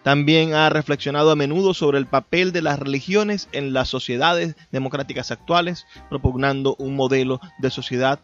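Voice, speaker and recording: A man's voice: medium pitch, normal speed, hardly any pauses, fairly bright tone. Male radio host over music, dull sound. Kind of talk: radio presenting, partly reading a text aloud. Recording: band-limited radio sound with music behind.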